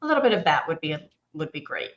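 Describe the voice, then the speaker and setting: A woman's voice: low voice, very animated, quick pace. Chatty woman host, dull lo-fi sound. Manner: chatty, rambling, laughs easily. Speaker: host, company art director. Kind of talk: casual interview.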